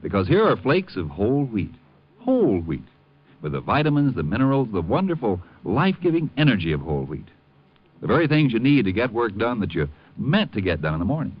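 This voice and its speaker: A man's voice: lowish voice, sing-song, a little fast, husky. Male American ad announcer: hugely swooping intonation, folksy and emphatic, muffled vintage radio sound. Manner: emphatic, chummy, repetitive. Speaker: commercial announcer. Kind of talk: folksy 1950s radio ad pitch. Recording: dull old radio recording.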